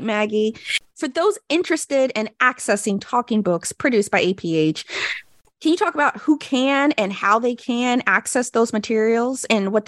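A woman's voice: on the high side, dry recording. A woman hosting a podcast interview, friendly and affirming as she leads the talk, recorded on a studio microphone. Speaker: podcast host.

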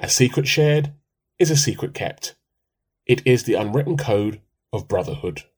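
A man's voice: mid-range voice, moderate pace, husky. A man, playing a timid, comically earnest, melodramatic husband in a radio play. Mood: mock solemn. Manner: pompous, excitable. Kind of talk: amateur radio play dialogue.

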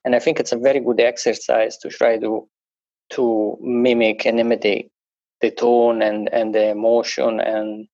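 A man's voice: medium pitch, little pitch movement, normal speed, a little mellow, dry recording. A man speaking English as a second language, talking through a podcast conversation with many restarts and fillers. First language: not English. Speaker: guest. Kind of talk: conversational interview talk. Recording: dull, dry lo-fi sound.

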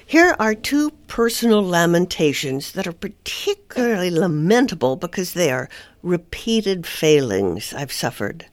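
A woman's voice: on the low side, sing-song, measured pace, no room sound. A woman columnist reading her humorous personal essay aloud in a wry, self-mocking way. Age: older.

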